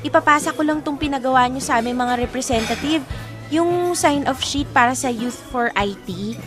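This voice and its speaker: A woman's voice: high-pitched, normal speed, natural voice. A Filipino woman playing a shy, polite younger college student in a naturalistic audio drama. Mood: eager. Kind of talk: naturalistic radio-style drama acting. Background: clear background music.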